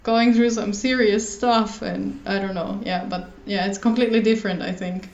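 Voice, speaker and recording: A woman's voice: mid-range voice, fairly even intonation, moderate pace, a little mellow, highs cut off. A woman guest chatting casually and eagerly on a podcast. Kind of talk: casual chat. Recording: echoey room, faint noise.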